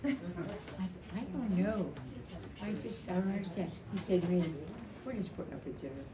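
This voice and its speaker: A woman in her seventies giving an informal, rambling and emotional personal tribute at a memorial, telling stories in a halting way. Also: mid-range voice, lively intonation. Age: 70s. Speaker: widow speaking at a memorial. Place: indoor family memorial gathering. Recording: echoey, distant mic, noisy gathering room.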